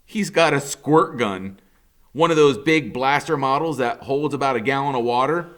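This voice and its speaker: A man reading a novel aloud as a dramatic first-person narration, expressive and suspenseful. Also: mid-range voice, normal speed.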